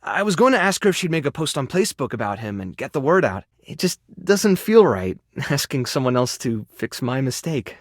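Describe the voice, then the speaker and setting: A man playing a kind, modest, overworked animal rescue keeper in a video game, speaking in a natural, soft-hearted and hesitant way. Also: mid-range voice, sing-song, brisk, dry recording, clean studio sound. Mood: earnest. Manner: soft-hearted, self-effacing, hesitant with small sighs and chuckles. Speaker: voice actor in a dating game. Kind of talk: video game character dialogue, natural and conversational.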